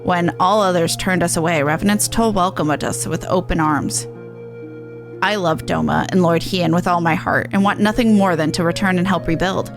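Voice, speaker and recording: A gentle female refugee in a fantasy game , read aloud by a woman. She is grateful, polite and earnest, with formal wording. Music plays clearly behind her. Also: lowish voice, moderate pace, lightly acted. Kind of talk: reading game dialogue aloud.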